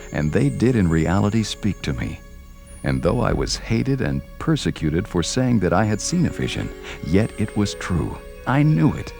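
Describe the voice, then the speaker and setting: An actor playing an earnest young religious visionary, solemnly and deliberately recounting his vision in formal old-fashioned language in an audio drama. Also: fairly low pitch, creaky, heavily acted. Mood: defiant, fervent. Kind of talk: dramatized first-person narration, audio drama.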